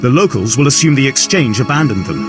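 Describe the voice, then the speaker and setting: A man plays a blunt army officer from a sci-fi game, mid-pitched and brusque. He speaks like a battle-weary commander in the field, with music clearly audible behind him. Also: animated, fast talker, close to an everyday voice. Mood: frank, businesslike. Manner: brusque, military. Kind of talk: video game cutscene acting. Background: game score.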